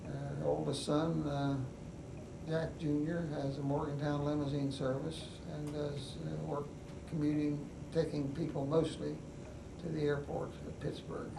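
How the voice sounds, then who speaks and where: An older man with a West Virginian accent recalls his life slowly, pausing often. His voice is gravelly and fairly high, with little rise and fall. He sounds set back from the microphone in an echoey room with steady background noise. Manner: unhurried, halting, many pauses and fillers. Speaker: veteran interviewee. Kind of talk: oral history recollection. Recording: echoey room, mic at a distance, noisy.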